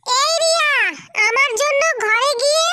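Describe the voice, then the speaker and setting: Woman as a squeaky, spoiled cartoon little boy. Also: unhurried, heavy background noise. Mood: bossy, demanding. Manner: taunting, demanding. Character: spoiled, mocking little boy, mother's favourite. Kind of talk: cartoon fairy-tale acting.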